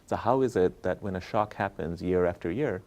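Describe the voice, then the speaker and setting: Low-pitched man explaining like a professor. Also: a little fast, a little mellow. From Bangladesh. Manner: explanatory, checks in with "right?" and "okay?". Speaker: economist, professor interviewed as guest. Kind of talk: conversational explanation of research.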